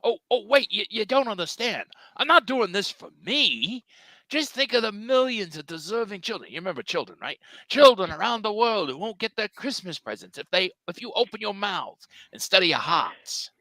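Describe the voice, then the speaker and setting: A man's voice: sits high, big pitch swings, normal speed, pushed and bright, no room sound, full character voice. A man playing an earnest, plucky, hapless cartoon hero with pleading melodrama in a table read.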